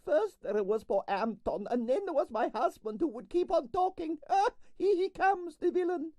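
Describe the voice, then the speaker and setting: A man voicing a vain, bossy older Russian ballerina in a storybook, with a Russian accent, shrill falsetto and dramatic broken English. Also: expressive, moderate pace, dark tone, no room sound. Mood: imperious, dramatic.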